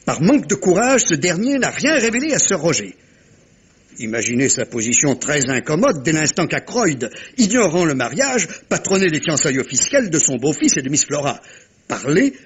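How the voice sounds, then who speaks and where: An actor playing a shrewd, self-assured middle-aged detective in a French radio mystery, commanding and theatrical, speaking with deliberate dramatic pauses. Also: male voice, fairly high pitch, slightly rough, full character voice. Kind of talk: 1950s-style French radio mystery acting.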